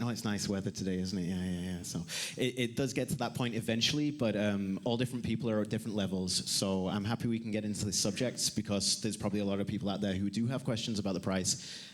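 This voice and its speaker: A man's voice: fairly low pitch, steady tone, fast talker, few pauses. A man on a conference panel talking in a chatty, conversational way with casual asides. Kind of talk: panel discussion.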